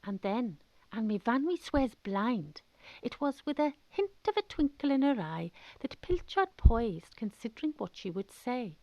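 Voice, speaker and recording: A woman's voice: on the high side, very animated, a little slow, a little mellow, dry recording, muffled recording. A woman with a Welsh accent giving scripted comic narration for a radio drama, colloquial and wry. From Pembrokeshire, Wales. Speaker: storyteller.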